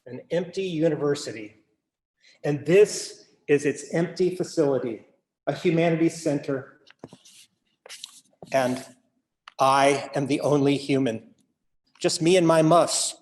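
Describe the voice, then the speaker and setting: A man, a playwright, addresses the audience in a theatrical monologue, wry and halting, given to wordplay. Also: fairly high pitch, darkish tone, slight background noise.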